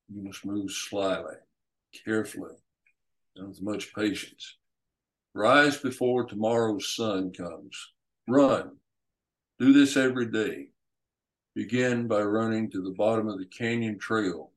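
A solemn warrior father as a man storyteller plays him speaks with earnest, formal weight. The voice is low and slow, set out in careful phrases like counsel from a storybook. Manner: deliberate, formal storytelling delivery in short phrases.

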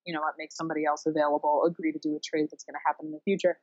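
A woman's voice: low-pitched, level tone, racing, a little mellow, no echo, dull audio. A woman co-hosting a podcast, chatting casually about sports in a wry, theatrically emphatic way. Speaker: podcast co-host.

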